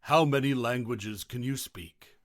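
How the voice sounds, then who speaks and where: A man's voice: medium pitch, unhurried, fairly bright tone, no room sound, clean studio sound. A man playing an examiner, asking test questions in a formal, instructional way. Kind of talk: scripted mock exam questions.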